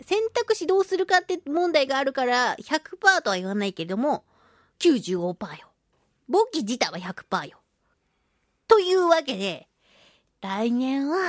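A woman's voice: high-pitched, dry recording, clean studio sound. A woman hosting a radio talk show, chatting casually in a playful, cutesy, effusive way. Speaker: radio show host, voice actress.

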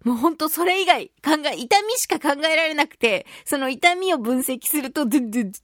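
A woman's voice: sits high, animated, brisk, fairly bright tone, dry recording, clean studio sound. A woman voice actor hosting a casual solo radio talk show, chatting playfully and acting out sound effects.

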